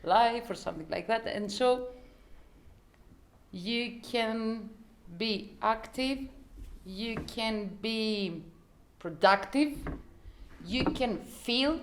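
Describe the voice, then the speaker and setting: A woman pitches an idea informally at a slow pace, halting and repeating herself as she searches for words. Faint noise and clicks sit behind her voice.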